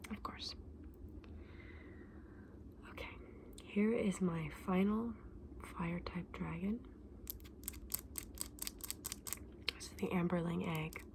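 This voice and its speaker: A woman acts out a friendly fantasy shopkeeper in an ASMR roleplay. Her voice is a soft, breathy whisper on the low side, with plenty of vocal fry. She explains things candidly with small hesitations, over faint background noise. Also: measured pace, pauses often. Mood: gentle, advising. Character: friendly, candid female dragon egg shop keeper.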